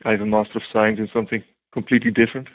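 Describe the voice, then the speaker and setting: A man's voice: lowish voice, little pitch movement, rapid-fire. A man from the Netherlands with a Dutch accent, answering interview questions hesitantly with many ums and restarts. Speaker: guest translator. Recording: tinny phone-like line.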